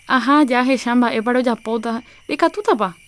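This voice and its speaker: Village teacher as festival announcer played by a woman: high, crisp, Paraguayan, radio drama. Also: normal speed, a bit bright, lightly acted. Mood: announcing.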